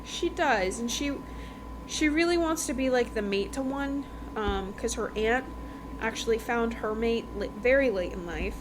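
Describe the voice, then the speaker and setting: A woman's voice: a little high, a little slow, background noise. A woman podcast host giving a casual, chatty book review in an informal, emphatic way, repeating phrases for effect.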